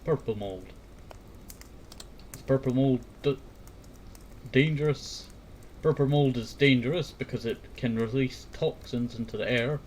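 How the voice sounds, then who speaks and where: A man with a mid-pitched voice rambles in a casual, homemade talk. He circles back over words and phrases and now and then reads aloud. There is clear noise in the recording. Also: unhurried, long pauses, a little mellow. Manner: meandering, repeats words and verbal tag phrases. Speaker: host of a fireside talk series. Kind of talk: rambling casual chat, some reading aloud.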